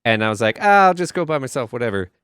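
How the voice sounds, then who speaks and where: Lively, animated man with mid-pitched voice. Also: fast talker, clean tone, no room sound, studio quality. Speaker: podcast co-host. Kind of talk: casual banter.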